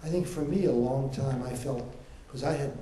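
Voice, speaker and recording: An older man on a survivor panel, giving personal testimony in a soft-spoken, reflective and hesitant way. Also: medium pitch, normal speed, a little hiss or hum. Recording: echoey lecture hall.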